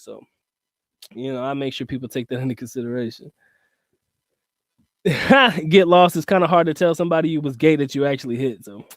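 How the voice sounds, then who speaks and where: A man's voice: a little high, a little mellow, no echo. A middle-aged man telling stories in a loose, rambling way and talking straight to his viewers as a livestream host. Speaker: channel host reading live chat. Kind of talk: casual livestream storytelling.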